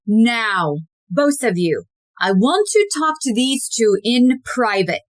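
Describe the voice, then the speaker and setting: A woman's voice: fairly high pitch, big pitch swings, slow, no room sound. A woman playing a cold, menacing, commanding society wife turned murderer in an audio play.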